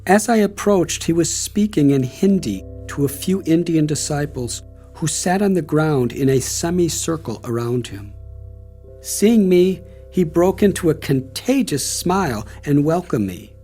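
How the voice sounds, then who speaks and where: Older guy reading his memoir, slow and thoughtful, kinda high voice, soft music under it. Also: animated. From United States. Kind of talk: audiobook narration.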